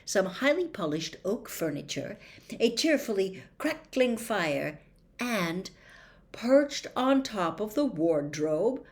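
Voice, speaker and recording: A woman reading a story aloud as expressive audiobook narration, with measured, dramatic phrasing. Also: mid-range voice. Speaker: storybook reader.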